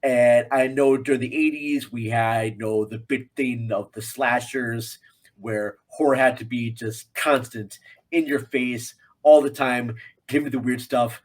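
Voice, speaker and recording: Man chatting about film, halting delivery. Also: medium pitch, steady tone. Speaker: podcast guest film reviewer. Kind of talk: casual film discussion.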